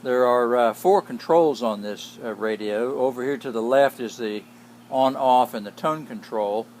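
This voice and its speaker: A man giving a casual, unscripted demonstration as a hobbyist, speaking in a plain, halting way. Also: medium pitch, a little mellow. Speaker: hobbyist radio restorer. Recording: clear background noise with hum.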